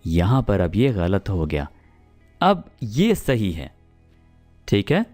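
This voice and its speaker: A man with a Pakistani accent teaching spoken English, explaining patiently and repeating example sentences slowly. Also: fairly low pitch, huge pitch swings, a little mellow, soft background music.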